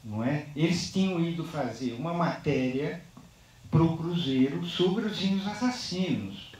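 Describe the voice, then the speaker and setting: A man with a fairly high voice speaks after a film screening in a halting, thoughtful way, tagging his phrases with questions. He is far from the microphone. His words ring through a large, very echoey room. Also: lively intonation, a little mellow, a little hiss or hum. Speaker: filmmaker speaking on a post-screening panel. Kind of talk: unscripted panel discussion.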